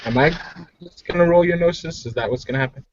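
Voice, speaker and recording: A man's voice: on the high side, fast, no room sound, muffled recording. A man playing a tabletop roleplaying game, talking casually about his moves.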